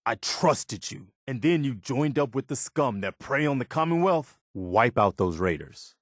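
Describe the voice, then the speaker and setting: An actor playing an earnest, principled militia officer in a video game, sincere and plain-spoken, loyal yet easily disappointed. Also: male voice, medium pitch, animated, measured pace, dry recording, studio quality. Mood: disappointed, stern. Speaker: video game companion character.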